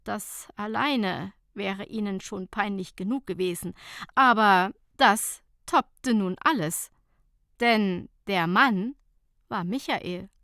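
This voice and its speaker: Female audiobook narrator for light comic fiction, expressive, bright, slow measured pace. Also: mid-range voice, dry recording, studio quality. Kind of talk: scripted humorous story narration.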